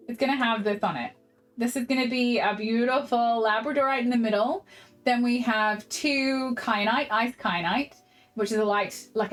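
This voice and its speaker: Cheerful Kiwi woman, young, hosting a relaxed craft stream; bright sing-song greetings over quiet background music. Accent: New Zealand English. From New Zealand. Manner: bubbly, laughs often, greets chatters in a high sing-song. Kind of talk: casual live-stream chat while crafting.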